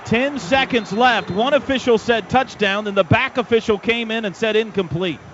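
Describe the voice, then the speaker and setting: Male American play-by-play caller, high voice, dramatic punchy phrasing, heard through a muffled, noisy stadium radio broadcast. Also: moderate pace. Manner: excitable, dramatic build-ups in short punchy phrases. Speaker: play-by-play announcer. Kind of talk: live American football radio commentary.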